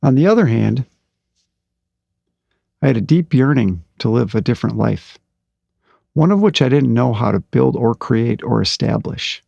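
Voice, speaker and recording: A middle-aged man hosting a podcast, talking in a calm, unhurried way and reading aloud from his own blog post. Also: medium pitch, vocal fry, no room sound, dull audio. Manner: calm, slow and unhurried, with fillers. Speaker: podcast host.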